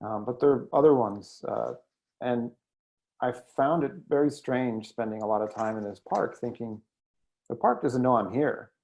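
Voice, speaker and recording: American man, creaky mellow voice, reflective. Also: mid-range voice, normal speed. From United States. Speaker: guest poet and editor. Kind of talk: thoughtful interview conversation.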